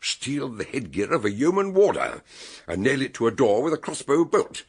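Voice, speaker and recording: An actor playing a shrewd, commanding older British gentleman detective in a radio drama, booming and declamatory. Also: sing-song, moderate pace, breathy edge. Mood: explaining, excited.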